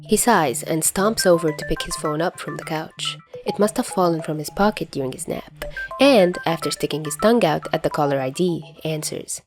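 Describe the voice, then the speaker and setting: A woman reading a story aloud as an amateur audiobook narrator, in an expressive scripted style. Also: sits low, normal speed, faint music behind.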